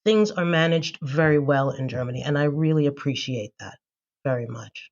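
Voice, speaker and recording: A middle-aged American woman from Philadelphia, a business English coach chatting as a podcast guest in a measured, affirming way over a call. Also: sits low, a little mellow, dull audio. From Philadelphia, United States. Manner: affirming, measured, often agreeing. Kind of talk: casual interview chat. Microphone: remote call.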